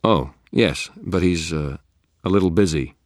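A man's voice: sits low, measured pace, no echo, clean studio sound, voice pushed up. A man voicing a patient, serious friend, speaking politely and earnestly with some hesitation. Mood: polite, hesitant.

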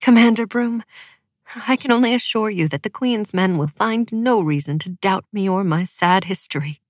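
A woman's voice: low-pitched, fairly even intonation, fairly quick, a bit bright, no room sound. A young widow , acted by a woman narrator in an audiobook, putting on meek, weary devotion. She sounds careful, earnest and pleading, close up in a dry recording. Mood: quavering earnest.

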